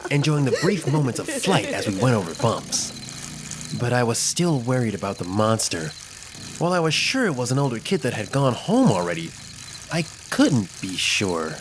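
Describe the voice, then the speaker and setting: A man narrating a scripted first-person children's story in an expressive, dramatic storytelling manner. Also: mid-range voice, a little hiss or hum.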